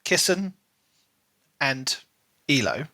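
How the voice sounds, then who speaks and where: Bright-voiced man chatting on a podcast. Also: medium pitch, big pitch swings, drawn-out words, dry recording. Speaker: podcast co-host. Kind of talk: casual book discussion.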